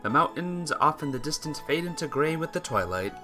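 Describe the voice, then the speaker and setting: A playthrough host reading a visual novel aloud as expressive, dramatic scripted narration. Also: medium pitch, a little fast, soft background music. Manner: dramatic reading, acts out dialogue.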